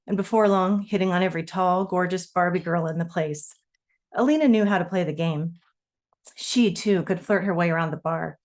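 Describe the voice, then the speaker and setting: A woman author speaking at a literary reading, presenting her own fiction. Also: on the low side. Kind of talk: reading own novel aloud, with informal introduction.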